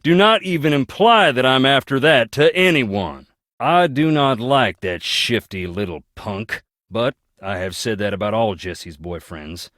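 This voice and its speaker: A man's voice: mid-range voice, expressive, measured pace, a bit bright, no echo, clean studio sound. An actor playing a brooding, plain-spoken man from a rich, troubled old family, talking in a folksy, wry and bitter way in a video game. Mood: angry, defensive. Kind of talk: video game character dialogue.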